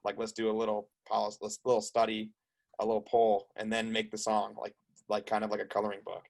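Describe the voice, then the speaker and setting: A man's voice: fairly low pitch, completely flat delivery, vocal fry, background noise. A man hosting an interview in casual, eager chat, often restarting and repeating words as he talks. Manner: rapid, stumbling restarts, eager. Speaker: host.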